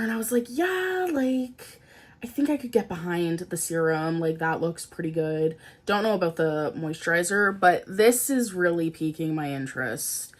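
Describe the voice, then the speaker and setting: A young woman from Canada, a beauty vlogger, chatting casually about new products, a little nasal and congested from a cold, rambling with lots of fillers. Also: mid-range voice. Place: indoors at home, seated in a chair.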